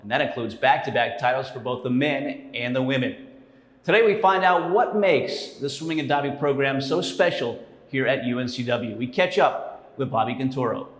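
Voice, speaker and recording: A man's voice: medium pitch, normal speed. Upbeat male sports show host. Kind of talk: scripted narration and interview.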